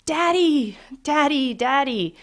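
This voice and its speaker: A woman reading aloud, voicing children who wail and plead at a high pitch. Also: lively intonation, takes it slowly. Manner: shrill wailing. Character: children pleading, crying and furious.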